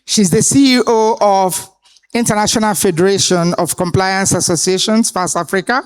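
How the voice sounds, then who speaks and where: A woman's voice: mid-range voice, smooth. Woman moderating a conference panel. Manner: deliberate, authoritative delivery with pauses. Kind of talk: introducing panelists at a live conference. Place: conference hall stage.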